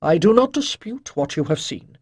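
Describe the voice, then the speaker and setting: A narrator acts out an elderly male priest from a fantasy tale. He teaches calmly and chides gently in a husky voice, pausing for weight and moving expressively up and down in pitch. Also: dry recording. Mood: calm, firm. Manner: measured, declamatory, pausing for weight. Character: wise old male priest, calm gently chiding teacher.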